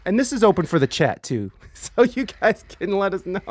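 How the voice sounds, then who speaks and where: A man's voice: high voice, big pitch swings, fairly quick, darkish tone. A man hosting a livestreamed talk show, joking with his guest and talking to the chat. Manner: joking, playful, reads chat aloud. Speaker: host of a streamed talk show. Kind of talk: casual livestream interview.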